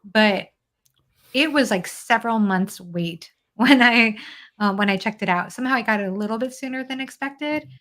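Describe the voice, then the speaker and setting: A woman's voice: a little high, moderate pace, a bit bright. A woman chatting casually and expressively about books. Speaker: book discussion participant.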